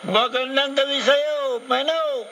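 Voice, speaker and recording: Teasing guy hosting am radio, high creaky voice, jokey banter, bit of noise. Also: fairly even intonation, slow, pushed and bright. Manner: playful, teasing, repeats himself. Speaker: radio news anchor. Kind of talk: casual, joking radio banter. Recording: noisy AM radio aircheck.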